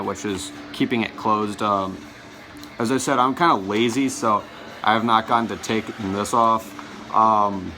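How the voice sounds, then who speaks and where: A man's voice: medium pitch, rough recording. A man vlogging in a casual chat to his viewers, rambling and often stumbling over his words and correcting himself. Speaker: vlogger showing his collection.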